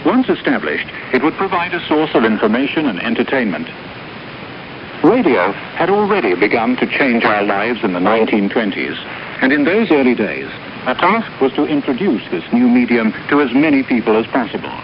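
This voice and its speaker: Male documentary presenter, old-time radio style, animated intonation, muffled noisy recording. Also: medium pitch, moderate pace. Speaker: documentary narrator and interviewer.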